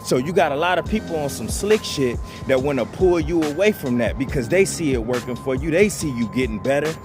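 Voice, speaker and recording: A man's voice: a little high, expressive, brisk. Blunt, profane man over music. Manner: blunt, profane, repetitive, calls listeners "bro". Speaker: channel host giving advice. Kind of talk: motivational monologue to viewers.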